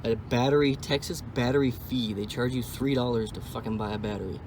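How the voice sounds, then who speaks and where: A man's voice: mid-range voice, steady tone, brisk. Wisecracking young man with noisy background. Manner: wisecracking, sarcastic asides; offhand, thinks aloud while working on a car. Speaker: car vlogger. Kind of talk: casual vlog commentary.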